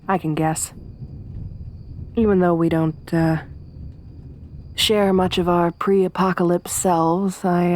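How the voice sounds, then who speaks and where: A young woman voicing a tough, tomboyish survivor companion in an ASMR roleplay, speaking softly with a wry, weary warmth. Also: lowish voice, natural voice. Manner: soft-spoken, wry, quietly reflective.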